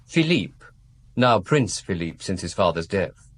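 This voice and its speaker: An actor playing a guarded, formal aristocratic man in a radio drama, speaking in precise, measured phrases. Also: low voice, sing-song, slightly rough, exaggerated voice. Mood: formal, discreet.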